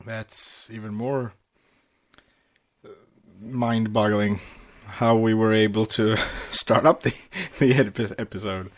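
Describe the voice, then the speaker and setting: A man co-hosting a casual fan podcast, chatting and reading notes in a halting way, sometimes repeating himself. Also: fairly low pitch, fairly even intonation. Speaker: podcast co-host.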